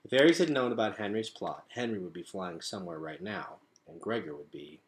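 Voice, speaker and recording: A man's voice: low-pitched, animated, fairly quick. A man reading a storybook aloud as a storyteller, with dramatic, emphatic phrasing.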